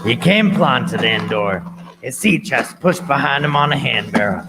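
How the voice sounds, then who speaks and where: A man's voice: medium pitch, lively intonation, normal speed, very noisy, natural voice. A man playing an earnest grown-up storyteller who recalls his boyhood in scripted, dramatic radio play narration. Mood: descriptive.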